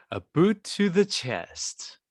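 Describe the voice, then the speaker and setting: Performer doing a mocking villain, arrogant, very slow, halting, animated intonation, close dry sound. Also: mid-range voice, husky, dry recording, full character voice. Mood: mocking menace. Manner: slow, halting, chuckling delivery. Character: menacing, arrogant gang leader, mocking and gloating. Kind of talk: table read of a screenplay.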